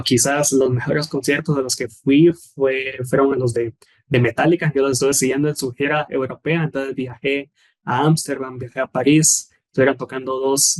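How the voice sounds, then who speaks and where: A young man with a Guatemalan accent speaks at a middle pitch, calm and unhurried. He often repeats words as he finds his footing. He closes his points with a small tag question. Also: rough recording. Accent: Guatemalan Spanish. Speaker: guest, former scholarship student. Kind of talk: relaxed interview answers.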